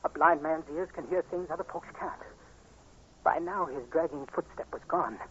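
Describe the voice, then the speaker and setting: A man's voice: on the high side, normal speed, a bit bright, breathy edge. Anxious blind man in old radio suspense. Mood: brooding dread. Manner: tense, dramatic, haunted. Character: Gordon, anxious blind man haunted by fear of a killer. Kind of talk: 1940s radio acting, first-person suspense narration. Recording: dull, noisy 1940s radio recording.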